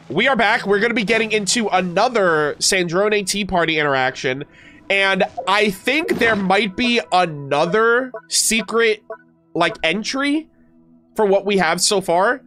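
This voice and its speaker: Stuffed-up male streamer, high-pitched, chatty and halting, faint music under him. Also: fairly bright tone. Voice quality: stuffed-up, nasal. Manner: chatty, hesitant with many fillers and restarts. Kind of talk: casual game stream chat.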